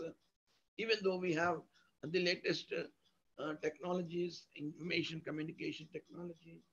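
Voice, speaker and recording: A male university lecturer with an Indian accent speaks in a very high, husky voice. He explains in a didactic, emphatic manner, restating points in strings of synonyms. The audio is dull and lo-fi. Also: fairly bright tone. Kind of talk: academic lecture, explanatory with examples.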